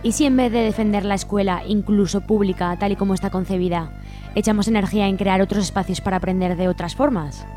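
A woman's voice: medium pitch, fairly even intonation, quick pace, dark tone, faint music behind. A woman with an accent from Spain co-hosting a radio programme, presenting from a script. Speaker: radio co-host.

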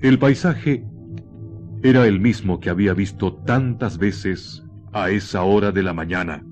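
A man with a lowish voice narrates a horror radio play very slowly. He is deliberate and suspenseful, letting pauses hang, with faint music behind him. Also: a little mellow.

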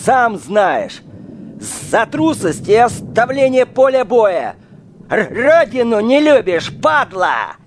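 Harsh, swooping wartime soldier. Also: male voice, extremely high pitch, sing-song, slow pace, a little hiss or hum, heavily acted. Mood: angry, contemptuous. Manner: barking, menacing, jeering. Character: harsh, scornful wartime Soviet soldier rounding up deserters. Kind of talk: Russian audio drama acting.

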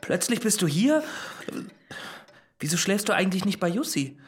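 A man's voice: high-pitched, very animated, normal speed. A man playing a socially awkward young maths student, hesitant and nervous, in a naturalistic radio drama. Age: young adult.

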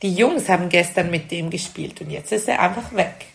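A woman's voice: lowish voice, moderate pace, creaky, slight background noise. A woman with a Swiss German accent playing a kindly primary school teacher in an amateur radio play, speaking simply to children. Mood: regretful.

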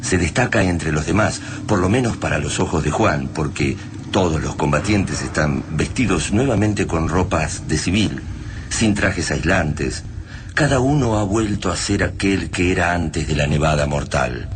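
An actor with an Argentine accent narrating a grim invasion saga as a scripted radio drama in a solemn way. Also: male voice, low-pitched, animated, unhurried, noisy background.

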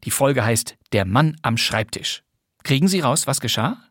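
A man with a medium-pitched voice announces a radio crime programme. His delivery is formal and scripted, in a very dry studio sound. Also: lively intonation, normal speed, fairly bright tone, no echo.

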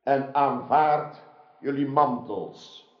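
A man's voice: medium pitch, very slow. An actor playing a noble, gracious king in a radio drama, ceremonial and commanding. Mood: stately, gracious. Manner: ceremonial, declamatory. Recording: dull, roomy radio drama recording.

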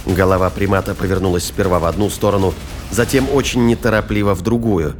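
A man's voice: low voice, steady tone, fast talker. A man reading scripted narration for a science fiction thriller audio drama. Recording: narration over music and battle effects.

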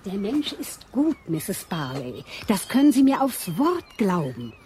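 An actress playing a kindly, scatterbrained woman who runs a home for wayward girls in a radio drama; she speaks earnestly and gets gently flustered. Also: medium pitch, sing-song, unhurried, noisy background.

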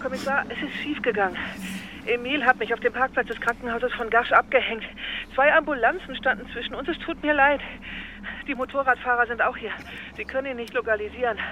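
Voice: medium pitch, fast talker, pushed and bright. An actor playing a strained, dutiful police officer reporting over radio in a crime drama. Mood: strained, apologetic. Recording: dull band-limited radio link, faint noise.

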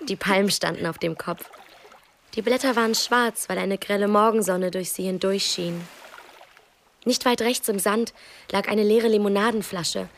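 An actress playing a poor, unsentimental beach child telling a story plainly in a radio play. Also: female voice, medium pitch, normal speed, slight background noise, natural voice. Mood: matter-of-fact. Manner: matter-of-fact storytelling. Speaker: actor. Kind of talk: first-person radio play narration.

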